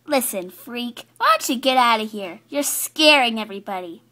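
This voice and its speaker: A woman's voice: fairly high pitch, expressive, moderate pace, pushed and bright. A woman voicing a grumpy, scornful horse in an animal story, blunt and dismissive in manner. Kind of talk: character acting in an amateur animal story.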